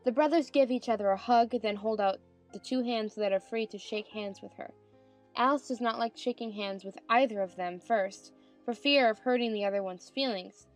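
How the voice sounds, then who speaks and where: A woman's voice: on the high side, moderate pace, faint music behind. A woman narrator reading stage directions in a scripted dramatized audiobook.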